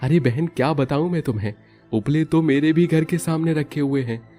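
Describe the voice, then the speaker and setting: A man's voice: fairly high pitch, normal speed, mellow, faint music behind, voice pushed up, lightly acted. A man voicing a worried, confused male villager in a cartoon story. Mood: anxious. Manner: anxious, wondering aloud.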